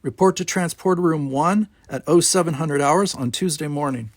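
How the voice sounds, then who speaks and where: A man's voice: fairly high pitch, normal speed, no room sound, clean studio sound, voice pushed up, close to an everyday voice. Man reading as a firm, commanding academy instructor. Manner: firm, clipped orders. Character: brisk, commanding academy instructor, a female lieutenant. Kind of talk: novel read-aloud character voice.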